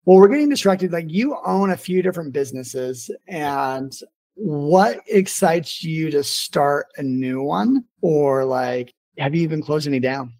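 Male podcast host, slightly high voice, informal and rambling, conversational interview delivery. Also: animated, unhurried, darkish tone. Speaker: podcast host and business owner.

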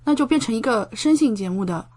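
A young woman from China chatting casually as a podcast guest, expressive and enthusiastic in the way she talks. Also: fairly high pitch, fast. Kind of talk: casual chat.

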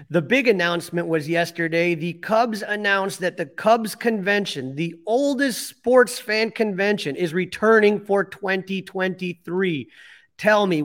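Guy hosting a sports podcast, kinda high voice, rambly and chatty, lots of likes and uhs. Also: fairly bright tone. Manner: storytelling, lots of fillers. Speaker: podcast co-host. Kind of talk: casual sports chat.

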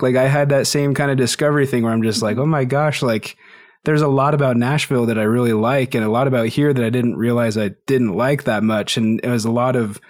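A man's voice: medium pitch, darkish tone. A man from Utah and Idaho hosting a podcast, encouraging and thinking aloud with restarts. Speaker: podcast host. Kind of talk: casual podcast conversation.

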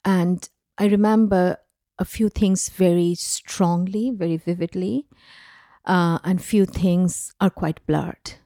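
A woman's voice: fairly low pitch, steady tone, dark tone, dry recording, clean studio sound. A woman from Bangladesh, a filmmaker guest in an interview, speaking thoughtfully with hesitations. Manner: slow, thoughtful, hesitant with fillers.

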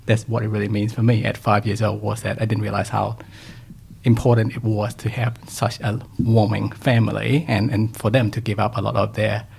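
A young man from Thailand, a podcast guest talking reflectively about his upbringing in a relaxed conversation. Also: lowish voice, moderate pace, vocal fry, breathy edge. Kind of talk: casual interview conversation.

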